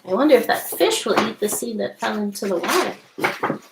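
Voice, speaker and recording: A woman's voice: medium pitch, lively intonation, moderate pace, vocal fry, very noisy. A middle-aged woman hosting a children's storytime, talking casually and reading aloud in simple, explanatory phrases, recorded indoors at home on a computer mic. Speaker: storytime host. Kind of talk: casual show-and-tell and picture-book read-aloud for young children. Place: small home room with wainscot walls, indoors. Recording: echoey room, home webcam.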